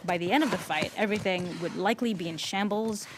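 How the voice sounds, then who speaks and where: A woman's voice: mid-range voice, fast, very noisy. A woman working as a game level designer gives explanatory developer commentary on her work.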